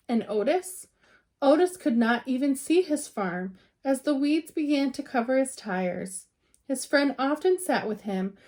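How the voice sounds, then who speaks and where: A woman reading a children's picture book aloud in an expressive storytelling style, with dramatic emphasis and drawn-out words. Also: high-pitched.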